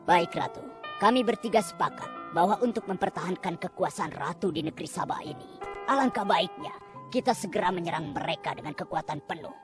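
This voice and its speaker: A woman voicing a loyal, scheming royal adviser in an animated cartoon, speaking in an eager, deferential way. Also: fairly low pitch, lightly acted. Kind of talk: cartoon voice acting. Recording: loud background music.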